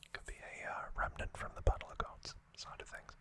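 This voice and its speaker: An ASMR host speaking in an unhurried, informal whisper, chatting through commentary close to the microphone. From United Kingdom. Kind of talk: whispered ASMR, relaxed show-and-tell commentary.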